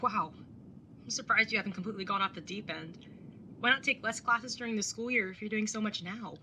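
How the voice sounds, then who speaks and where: A woman's voice: mid-range voice, fairly quick, sharp tone, slight background noise, close to an everyday voice. A young woman playing a caring, slightly awkward and wry older sibling in a casual audio drama. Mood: gentle, concerned. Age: young adult. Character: awkward, caring older sibling, early twenties, tattooed, teasing. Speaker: narrator and lead character. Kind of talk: audio drama acting with first-person narration.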